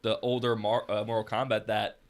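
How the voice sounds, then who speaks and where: A man's voice: fairly low pitch, flat delivery, brisk. A man hosting a casual panel chat in an emphatic, jokey way. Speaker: podcast host.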